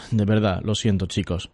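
An actor with an accent from Spain playing a hesitant, exasperated scriptwriter in a naturalistic audio fiction. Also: male voice, on the low side, steady tone, measured pace, a little mellow, slightly rough. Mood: flustered. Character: frazzled, apologetic, grumbling male scriptwriter.

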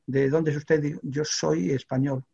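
Gravelly older Spanish man telling stories. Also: fairly high pitch, moderate pace, dull audio. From Extremadura, Spain. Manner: animated anecdote with many fillers and restarts. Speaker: guest, veteran sports radio reporter. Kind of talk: storytelling in a studio chat.

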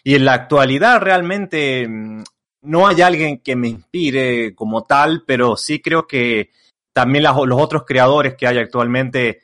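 A young man with an Argentine Spanish accent, chatting casually as an interview guest. He rambles, stacks fillers and restarts his sentences often, at an ordinary mid pitch for a man. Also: a little slow, fairly bright tone. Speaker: content creator guest.

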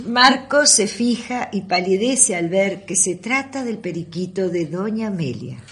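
A woman's voice: mid-range voice, lively intonation, a little slow, a bit bright. A woman narrating an amateur radio play from a script. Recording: audible background noise.

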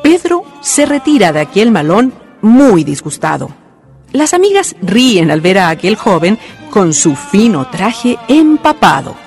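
A narrator telling a historical radio drama in measured, scripted storytelling. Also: mid-range voice, sing-song. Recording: community radio drama with faint music.

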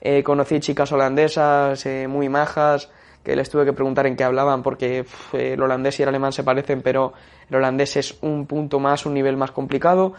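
Young Spaniard talking football in a flat voice. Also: male voice, medium pitch, brisk. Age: young adult. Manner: steady, with frequent "eh" fillers. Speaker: football segment contributor. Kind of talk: casual radio-style football talk.

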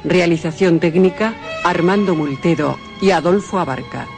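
Formal male announcer over loud music. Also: fairly high pitch, normal speed, creaky. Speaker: radio announcer. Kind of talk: formal closing credits.

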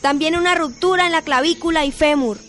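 A woman's voice: sits high, a little fast, fairly bright tone, slight background noise. A woman acting an urgent, commanding role in an amateur Spanish-language radio drama.

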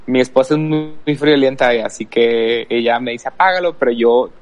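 Male podcast guest, medium pitch, unscripted personal storytelling, hesitant delivery. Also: normal speed. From El Paso, Texas, USA. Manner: hesitant, thinking aloud. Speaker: podcast guest, worship leader.

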